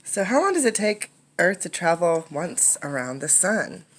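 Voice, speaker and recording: A woman reading a picture book aloud as a teacher, in an instructive way, pausing to explain and ask questions. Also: lowish voice, sing-song.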